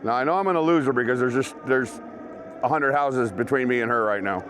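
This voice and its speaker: A man's voice: medium pitch, brisk, fairly bright tone, a little hiss or hum. A man hosting a gear review vlog, chatting informally and directly to his viewers. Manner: informal, conversational, talks to viewers. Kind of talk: casual product review chat to camera.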